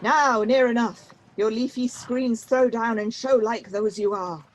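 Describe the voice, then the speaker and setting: A woman's voice: fairly high pitch, steady tone, measured pace, lightly acted, poor audio. A woman playing a young noble prince and army leader in a Shakespeare radio play, with formal commanding verse.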